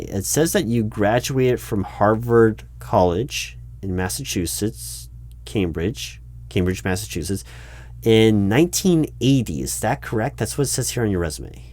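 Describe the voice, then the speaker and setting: A man playing a politely incredulous recruiting manager in an improvised audio roleplay, speaking aloud in a halting, stammering way and repeating words. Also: on the low side. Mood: polite, probing. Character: flustered, politely incredulous male recruiting manager interviewing someone. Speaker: job interviewer. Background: computer keyboard typing.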